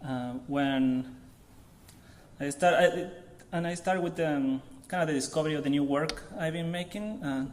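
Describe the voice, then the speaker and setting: A man from Chile in his thirties with a Chilean accent, giving an informal artist talk to an audience in a halting way, searching for words. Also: a little high, slight background noise. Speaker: visiting artist.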